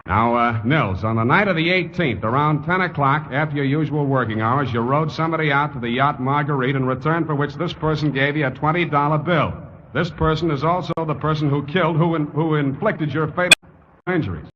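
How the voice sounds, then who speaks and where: An actor playing a sardonic, hard-boiled private detective with clipped tough talk in a 1940s radio drama. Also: male voice, a little high, a little fast, natural voice. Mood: insistent, coaxing. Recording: dull, noisy old radio recording.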